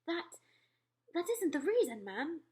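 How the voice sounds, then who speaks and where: A woman's voice: extremely high pitch, sing-song, slow, a little mellow, voice pushed up, exaggerated voice. A woman reading aloud and voicing a timid, deferential maid who quavers and keeps saying ma'am. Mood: anxious.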